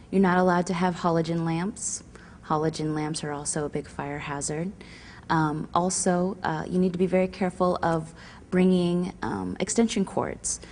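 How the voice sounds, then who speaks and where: A woman's voice: deep voice, a little mellow, a little hiss or hum. A woman giving instructional safety advice in a hesitant, explanatory way. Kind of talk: semi-scripted instructional explanation.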